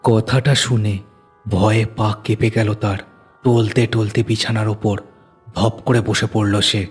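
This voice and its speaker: A male narrator tells a horror tale in a fairly low voice. His phrasing is deliberate and suspenseful, in a dramatic storytelling style, with music underneath. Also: level tone, slightly rough.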